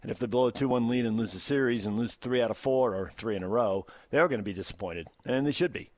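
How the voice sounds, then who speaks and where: Male sports radio host, American accent, mid-pitched voice, dry studio sound, conversational delivery. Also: fast, no echo.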